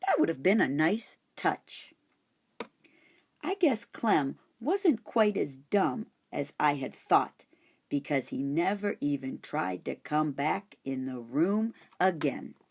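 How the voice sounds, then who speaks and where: A woman reading a children's chapter book aloud in an expressive storytelling manner. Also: low-pitched, takes it slowly, gravelly, husky. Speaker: audiobook reader. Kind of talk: children's audiobook narration.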